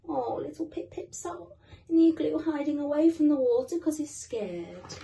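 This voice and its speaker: A woman's voice: high-pitched, animated, moderate pace, creaky, mellow, far from the mic. A British woman reading a children's storybook expressively, with asides to young listeners. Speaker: guest reader.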